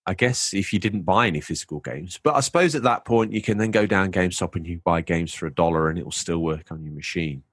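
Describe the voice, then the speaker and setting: A man's voice: low voice, fast, few pauses, no room sound, clean studio sound. A man co-hosting a casual podcast chat, wry and full of rhetorical questions. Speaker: podcast co-host.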